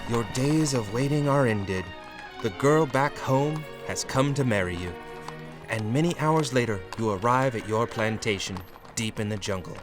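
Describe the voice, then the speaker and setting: A man's voice: medium pitch, normal speed. A man giving theatrical, suspenseful narration as a storyteller reading a vintage-style horror comic in the second person. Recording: music behind the voice.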